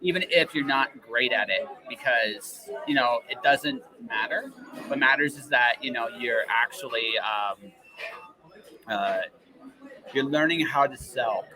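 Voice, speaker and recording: A man hosts a casual interview show with a mid-range voice. He talks in a rambling, emphatic way, checking in as he goes. Crowd chatter sits clearly behind him. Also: mid-range voice, a little slow, poor audio. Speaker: podcast host.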